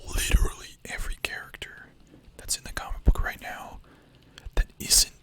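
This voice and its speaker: A young adult streamer whispering ASMR-style, chatting casually with chat, full of filler words and the odd swear. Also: moderate pace.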